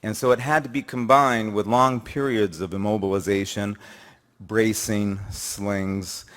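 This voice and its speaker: A loud, jovial man in his sixties giving a self-deprecating personal talk at a podium microphone in a lecture hall. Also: fairly low pitch, fairly even intonation, a little slow. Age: 60s. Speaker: patient speaker.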